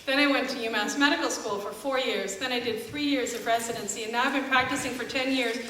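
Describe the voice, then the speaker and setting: A woman's voice: on the high side, fast talker, fairly bright tone. A middle-aged American woman, a family doctor, giving a sarcastic, exasperated live speech to an audience. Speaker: family doctor speaking as an activist. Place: live concert event in a church. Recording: echoey hall from far off, noisy.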